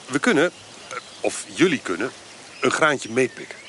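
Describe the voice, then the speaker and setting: An actor playing a glib trader in colonial goods in a radio play, persuasive and full of hesitations. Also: male voice, mid-range voice, sing-song, moderate pace, pushed and bright, slight background noise.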